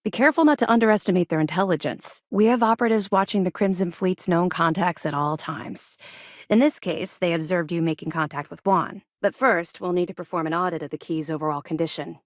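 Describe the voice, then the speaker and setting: An actress playing a disciplined, guarded female officer in a space navy for a video game, with a crisp, formal briefing manner. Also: lowish voice, brisk, no room sound, clean studio sound, lightly acted. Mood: composed, businesslike. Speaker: video game character voice actor. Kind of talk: video game dialogue acting.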